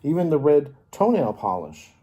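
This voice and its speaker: A man reading a picture book aloud to children as a storyteller, expressively and with dramatic pauses. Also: on the high side, very animated, breathy edge, dull audio.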